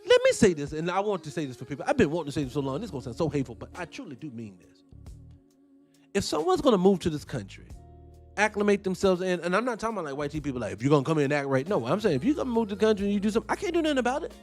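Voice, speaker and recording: A young man rants on his talk show, swearing freely and jumping between heated points and side stories. His voice sits a touch high for a man, with a faint music bed behind him. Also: rapid-fire. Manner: profane, animated, ranting with tangents. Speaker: host. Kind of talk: heated casual commentary.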